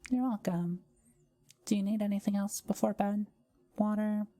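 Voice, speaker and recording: A woman playing a kind, soft-spoken forest witch in an intimate audio roleplay, talking to the listener in gentle, formal, old-fashioned wording. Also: mid-range voice, fairly even intonation, moderate pace, natural voice. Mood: warm, hospitable.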